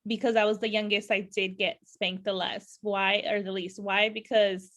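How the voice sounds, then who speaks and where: A woman's voice: mid-range voice, little pitch movement, normal speed, a bit bright. A woman from California hosting a podcast interview, chatting casually with lots of fillers and frequent laughter. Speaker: podcast host.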